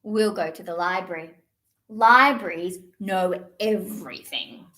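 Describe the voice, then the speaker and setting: A woman's voice: lowish voice, unhurried, pushed and bright, pitched below the performer's own voice. A woman voicing a plucky, outspoken very young bird in a children's storybook, chirpy and emphatic. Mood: confident.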